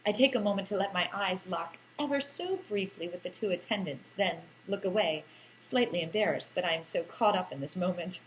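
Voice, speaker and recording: A woman's voice: fairly low pitch, a little fast, slight background noise, poor audio. A woman narrating a first-person story like an audiobook, with a theatrical, lilting delivery and lingering phrases.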